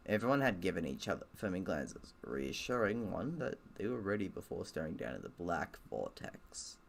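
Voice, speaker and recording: Low-pitched creaky man, casual gamer. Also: very animated, moderate pace. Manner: self-deprecating, sweary. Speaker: streamer reading a game aloud. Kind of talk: casual let's-play narration with asides.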